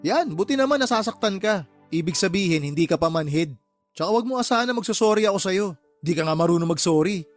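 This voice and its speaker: A woman's voice: on the low side, expressive, fast talker, faint music behind, natural voice. Woman playing a blunt, resentful younger sister. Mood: fed up, sarcastic. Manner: blunt, scolding, sarcastic. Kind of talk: Tagalog radio drama acting.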